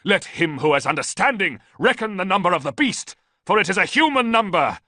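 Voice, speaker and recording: A man voicing a bearded, fanatical male priest who chants prophecy in a booming voice. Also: sing-song, fairly quick, sharp tone, dry recording, clean studio sound, full character voice. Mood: ranting.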